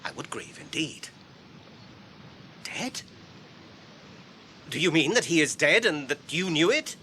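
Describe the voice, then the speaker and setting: Casting: earnest, dignified English halfling traveller, bright forthright voice, formal radio-drama diction, faint noise behind. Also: male voice, a little high, very animated, exaggerated voice. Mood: indignant, alarmed. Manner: measured, articulate, formal. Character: earnest, courteous hobbit traveller, guarded and dignified. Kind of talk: BBC radio drama acting.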